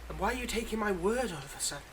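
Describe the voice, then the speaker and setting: A man's voice: high-pitched, animated, very fast. Wry villain, played by a man, noisy recording. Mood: surprised, hopeful. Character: weary, wry, teasing supervillain with memory powers, secretly kind. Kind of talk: roleplay audio acting, intimate monologue to listener.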